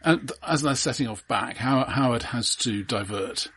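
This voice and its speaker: A man co-hosting a film podcast, explaining his points casually and analytically in a halting way. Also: medium pitch, fairly bright tone, slightly rough.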